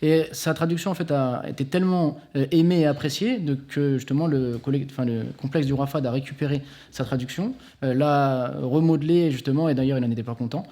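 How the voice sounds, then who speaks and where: A man explaining things as a guest at a talk, quick and eager, often restarting his sentences. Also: medium pitch, dark tone. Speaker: conference guest speaker.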